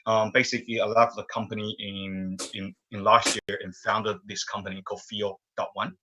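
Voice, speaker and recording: A man from Australia with a low voice and a very bright, sharp tone, upbeat and often restarting his sentences. He speaks over a video call on an online panel, and the audio is dull and lo-fi. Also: level tone, normal speed, a little hiss or hum. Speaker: panelist, startup founder. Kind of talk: self-introduction on a panel.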